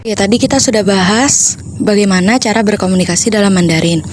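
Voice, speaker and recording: A woman's voice: mid-range voice, brisk, slight background noise. A teenage girl presenting school topics on a student podcast in an earnest, informative way. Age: teens. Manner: earnest, informative, explaining history.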